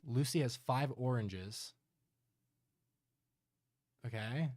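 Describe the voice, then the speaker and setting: A man's voice: mid-range voice, very slow, darkish tone, a little hiss or hum. A man doing casual live reaction commentary as a streamer, with a joking, sarcastic manner. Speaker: streamer reacting to horror videos.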